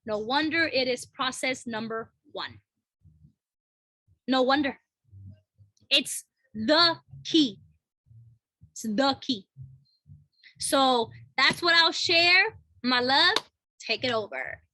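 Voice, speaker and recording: A woman's voice: high voice, animated, slow, pauses often, bright, forceful tone, no echo, poor audio. A woman co-hosting a podcast, talking earnestly in casual spiritual chat, repeating phrases and asking "right?". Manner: reads a book passage aloud, then reflects. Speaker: podcast co-host.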